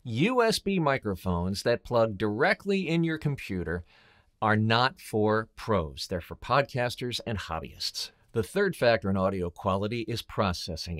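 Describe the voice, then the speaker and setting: A man's voice: on the low side, fairly bright tone. A middle-aged man hosting a scripted advice video to camera, speaking in a blunt, emphatic, colloquial way. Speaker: host, voiceover coach and voice actor.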